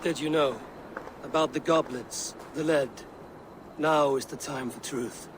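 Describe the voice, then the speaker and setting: An actor playing a stoic, principled Viking warrior in a video game, solemn and restrained. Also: male voice, fairly high pitch, measured pace, noisy background, lightly acted. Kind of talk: dramatic video game acting.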